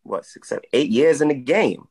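A man in his thirties co-hosting a podcast from home over a video call, enthusiastic and quick to praise the guest. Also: medium pitch, animated, crowd noise. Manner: enthusiastic, repeats "I love that". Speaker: podcast co-host. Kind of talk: casual podcast interview.

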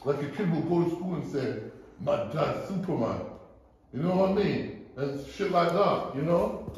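A man's voice: a little high, expressive, moderate pace, a little mellow. A man giving a blunt, profane, preachy motivational talk to a group at his gym. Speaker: gym owner giving a seminar. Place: large echoey gym indoors. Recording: echoey gym, distant mic.

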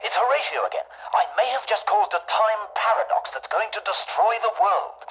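An actor playing a hapless, sheepish time traveller leaving messages on an answering machine in an audio sci-fi comedy. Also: male voice, high-pitched, lively intonation, fairly quick, a bit bright. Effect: answering machine speaker. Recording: muffled answering-machine message, faint noise.

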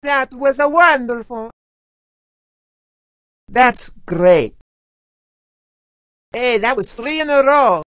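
A woman's voice: high voice, animated, slow, long pauses, no echo. A woman playing a doting, teasing Italian-American mama, a theatrical and motherly video game character. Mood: delighted. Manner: theatrical, motherly, uses endearments. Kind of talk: video game character barks, exaggerated and exclamatory. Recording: slightly dull game audio clips.

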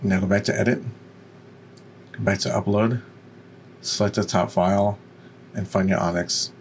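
A man with a deep voice giving an unscripted, step-by-step software tutorial. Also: steady tone, normal speed, vocal fry, slight background noise. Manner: instructional, step by step. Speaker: tutorial presenter.

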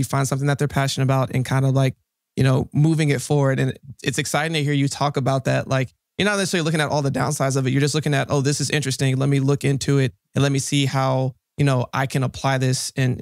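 A man's voice: mid-range voice, no echo, studio quality. A young man hosting a casual interview podcast in an upbeat way, with plenty of fillers. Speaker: podcast host. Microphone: studio mic on a boom arm.

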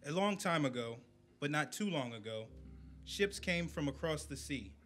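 Man reciting poetry, slightly high voice. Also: expressive, normal speed, a bit bright. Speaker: community poetry reader. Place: indoor event room, at a podium. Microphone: podium microphone on a stand. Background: faint music.

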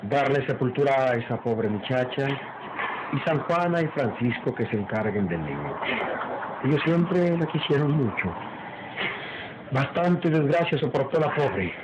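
A man's voice: medium pitch, lightly acted. Guy playing grave formal lord of the manor, old radio soap, noisy recording. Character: dignified, pious nobleman, master of the house. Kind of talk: old radio melodrama acting.

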